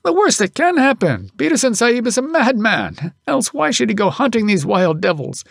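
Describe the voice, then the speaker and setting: Casting: man acting middle-aged elephant driver, storybook father, scolding and proud, high swooping delivery, archaic phrasing. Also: sing-song, normal speed, creaky, fairly bright tone, no echo, studio quality, exaggerated voice. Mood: indignant scolding. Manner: indignant, archaic thee-and-thou speech. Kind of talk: audiobook character voice.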